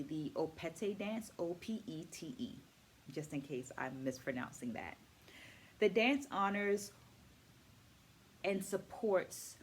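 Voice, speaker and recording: Low-voiced woman teaching in a vlog. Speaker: dance educator and book vlogger. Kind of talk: book review vlog, explaining takeaways to viewers. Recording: noticeable background noise.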